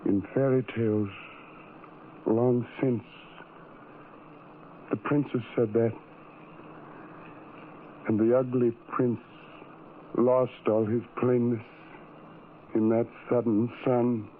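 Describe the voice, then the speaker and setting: A man's voice: mid-range voice, level tone, slow, pauses often, close to an everyday voice. Man acting an anguished, self-loathing lover in old radio melodrama. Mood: wistful. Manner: theatrical, poetic, broken phrases and repetitions. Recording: muffled, noisy vintage radio recording.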